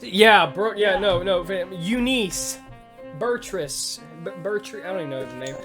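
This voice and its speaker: A man's voice: high-pitched, a little slow, over music. A man chatting casually on a podcast with friends, informal and slangy, rambling and breaking off mid-thought. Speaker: podcast host and audio fiction creator.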